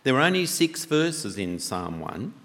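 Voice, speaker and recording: A man preaching a sermon with a loud voice, talking conversationally and asking the congregation many questions. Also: mid-range voice, sing-song, a little slow. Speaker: pastor. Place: church. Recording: long church reverb.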